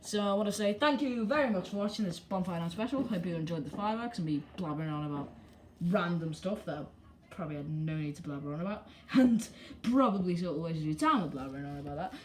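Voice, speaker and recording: A woman's voice: on the low side, lively intonation, fast talker, a little hiss or hum, poor audio. A young woman, rambling and joking casually as a vlogger while filming fireworks at home on a handheld camera. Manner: rambling, self-deprecating, mild swearing. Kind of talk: casual vlog commentary.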